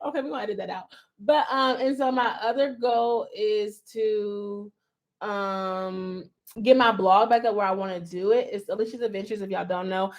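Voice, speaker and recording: A woman's voice: medium pitch, steady tone, normal speed. Chatty woman podcast host. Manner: playful, reads listener questions, frequent "um" fillers. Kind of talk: casual podcast chat.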